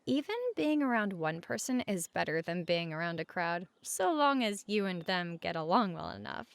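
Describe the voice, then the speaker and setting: Friendly, reassuring female roommate, played by a woman for comfort audio: casual, gentle, frequent vocal fry, very dry close sound. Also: lowish voice, sing-song, normal speed, no echo. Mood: relaxed, chatty. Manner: casual, gentle, reassuring, speaking at regular volume. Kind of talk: conversational comfort audio roleplay.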